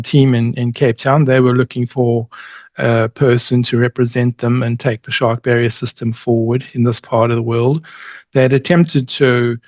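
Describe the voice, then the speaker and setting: Interview guest: middle-aged male, medium pitch, halting casual speech, dull dry recording. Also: no echo. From born in Zimbabwe, grew up in South Africa, long settled in New Zealand. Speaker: interview guest, company representative.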